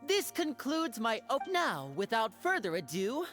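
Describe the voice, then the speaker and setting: A woman's voice: a little high, very animated, a little slow, over music. An actress playing a showy female performer in a video game, addressing an audience grandly and formally. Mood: grand, announcing. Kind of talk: scripted video game acting.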